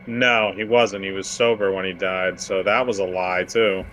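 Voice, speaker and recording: A man's voice: low voice, steady tone, moderate pace, fairly bright tone, a little hiss or hum, poor audio. A man giving casual gameplay commentary, thinking aloud and speculating as he goes. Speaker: gamer.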